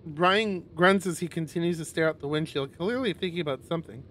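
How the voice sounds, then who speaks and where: A man reading a visual novel aloud on a stream in an expressive narrating style. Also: on the high side, a little fast, slight background noise.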